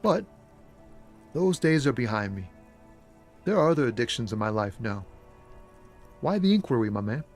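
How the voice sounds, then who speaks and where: A man's voice: mid-range voice, moderate pace, long pauses, soft background music, lightly acted. A man voicing a laid-back slangy street poet. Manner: relaxed, slangy, easygoing. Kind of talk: scripted game dialogue.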